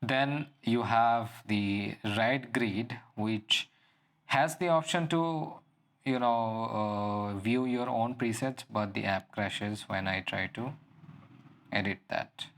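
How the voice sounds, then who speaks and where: Low-pitched man reviewing tech gear. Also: takes it slowly, fairly bright tone. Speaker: product reviewer. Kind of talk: unscripted app and gadget walkthrough.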